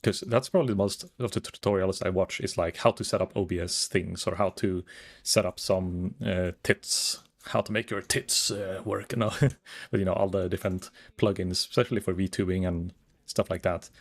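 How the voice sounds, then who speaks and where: A calm, soft-spoken man chatting casually while streaming a game, rambling and thinking aloud with many fillers. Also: low-pitched, moderate pace. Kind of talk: casual gaming stream chat.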